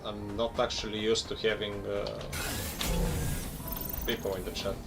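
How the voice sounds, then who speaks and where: Bulgarian-accented man, low gravelly voice, casual rambling stream chat, loud game music behind. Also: level tone. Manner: rambling, talks to chat between pauses, swears at the game. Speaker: gaming streamer. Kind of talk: casual live-stream chat while gaming.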